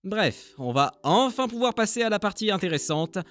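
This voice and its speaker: A man's voice: high voice, sing-song, normal speed, a bit bright. A man narrating a casual vlog as an amateur dubber, informal and self-deprecating. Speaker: amateur voice dubber and channel creator.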